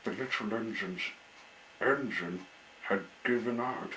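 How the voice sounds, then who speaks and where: Very slow, lowish-voiced man reading a storybook. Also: bright, forceful tone, slight background noise, poor audio. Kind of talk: children's storybook read-aloud.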